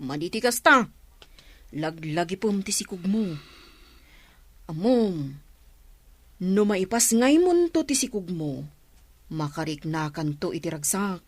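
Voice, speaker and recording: A woman playing a frank, caring young village friend in a radio drama, chatty and animated, with an Ilocano accent. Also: on the low side, measured pace, long pauses, no room sound. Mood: concerned.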